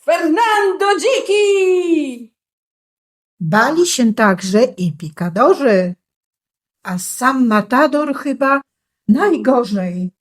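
A woman narrating a rhymed children's story with theatrical, deliberate, emphatic phrasing on a noisy amateur recording. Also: a little high, lively intonation. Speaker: storyteller in a community radio play.

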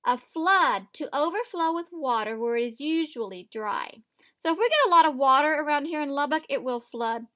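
A woman's voice: sits high, animated, moderate pace, pushed and bright. A woman teacher reading aloud to young children, instructing and putting questions to them directly. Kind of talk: read-aloud storybook lesson for young children. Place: indoors at a table, reading from a picture book.

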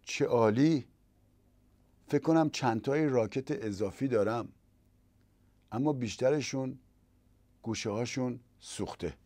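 A man voicing a sad, lonely dragon who wants a tennis partner, speaking quickly and eagerly. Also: medium pitch, warm tone, dry recording, voice pushed up, lightly acted.

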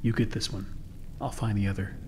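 A man's voice: fairly low pitch, fairly even intonation, fairly quick, lightly acted. An actor playing a wry, grumbling working man in a horror video game, with a dry, matter-of-fact delivery. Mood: grumbling, practical. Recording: clear noise and clicks.

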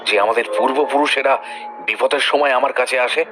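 A man's voice: mid-range voice, steady tone, brisk, music in the background, close to an everyday voice. A man acting as an earnest, mystical village protector recounting events in a horror audio drama. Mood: earnest, mysterious. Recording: noisy background ambience.

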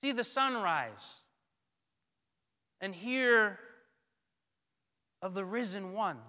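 Male priest, thirties, bright high voice, solemn and deliberate sermon delivery into a pulpit mic. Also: sing-song. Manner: solemn, deliberate, with frequent pauses. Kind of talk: sermon, measured scripted delivery. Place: large church, indoors at a pulpit. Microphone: gooseneck pulpit microphone. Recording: long church reverb.

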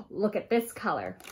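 High-pitched, animated woman reading to children. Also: slight background noise. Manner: expressive, asks questions and addresses young listeners directly; dramatic gasps and emphatic, drawn-out key words. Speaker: storyteller reading to young children. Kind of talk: animated children's picture book read-aloud. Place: small furnished room at home, indoors.

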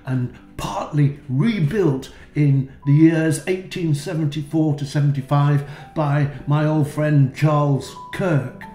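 Slow, dry-humoured man narrating a church tour. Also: on the high side, dark tone, echoey room. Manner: slow, dry wry asides. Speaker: church tour video host.

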